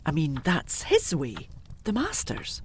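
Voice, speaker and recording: An actress as an old-fashioned housekeeper in a gothic audio play, pitched fairly low with enormous swoops of intonation. She is emphatic, loyal and deferential, over faint background noise. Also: huge pitch swings, slow, heavily acted. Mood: guarded. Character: loyal older housekeeper, indignant, discreet, plain-spoken. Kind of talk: audio drama acting of a gothic period story.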